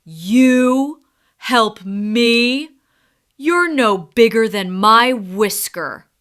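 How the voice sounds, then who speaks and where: A woman's voice: on the high side, animated, extremely slow, fairly bright tone, full character voice. A woman voicing a proud, grumpy male lion who rules the jungle, grumbling and exclaiming in a children's storybook. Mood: mocking.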